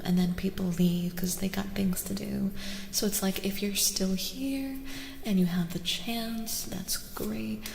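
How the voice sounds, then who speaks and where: A woman host chatting in a soft-spoken ASMR livestream, gentle and soothing, repeating phrases softly and often dropping to a breathy whisper. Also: mid-range voice, a little hiss or hum. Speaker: streamer and tarot reader host. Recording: roomy echo, long reverb, music. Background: clear background music.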